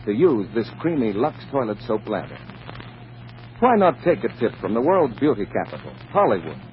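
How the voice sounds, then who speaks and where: Lively 1940s male radio announcer. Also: mid-range voice. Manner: polished, persuasive. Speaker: radio announcer reading sponsor copy. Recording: muffled, noisy vintage radio recording.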